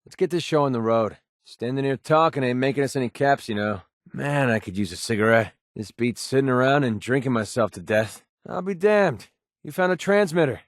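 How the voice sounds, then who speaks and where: An actor playing a cynical, wisecracking young mercenary in a video game, with a sardonic, casual, streetwise delivery. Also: male voice, mid-range voice, lively intonation, brisk, no echo, studio quality, close to an everyday voice. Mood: grumbling, then businesslike. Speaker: video game companion character. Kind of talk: video game voice acting, short scripted barks.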